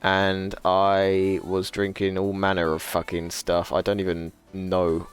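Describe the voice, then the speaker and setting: A man's voice: monotone, slow pace, smooth, soft background music. A man in his twenties, chatting casually and profanely to a live stream audience, rambling and laughing often. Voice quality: low voice. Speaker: livestreamer.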